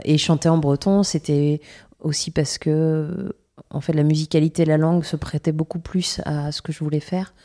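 Low mellow-voiced woman singer. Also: creaky. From Brittany. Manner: hesitant, many fillers and restarts. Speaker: singer being interviewed. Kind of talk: casual interview answers.

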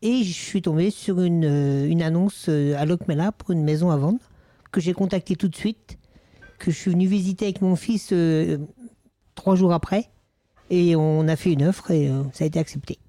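A man's voice: on the high side, normal speed, mellow, no echo. A man from Maine-et-Loire, a guest in an interview recorded in a village bar, speaking hesitantly with many fillers and restarts. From Maine-et-Loire, France. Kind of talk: casual interview.

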